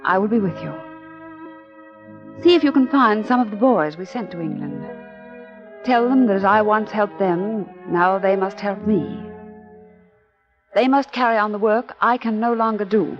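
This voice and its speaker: A woman's voice: mid-range voice, lightly acted. An actress playing a brave, selfless condemned woman saying farewell, earnest and resolute, in 1940s radio drama style. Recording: dull old radio recording. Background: clear music.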